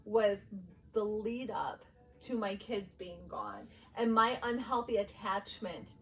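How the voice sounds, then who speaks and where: A woman's voice: medium pitch, takes it slowly, recorded from a distance. A woman in her thirties co-hosting a podcast, sharing personal stories in a candid, conversational way. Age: 30s. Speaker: podcast co-host. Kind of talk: casual personal storytelling. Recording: faint steady background noise.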